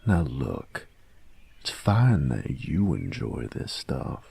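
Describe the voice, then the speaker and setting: A man playing a cocky young bully who is secretly soft on his boyfriend in an intimate audio roleplay, gravelly and husky, grudging and teasing in a cool-guy way. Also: very deep voice, big pitch swings, takes it slowly, heavily acted. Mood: mocking, dismissive.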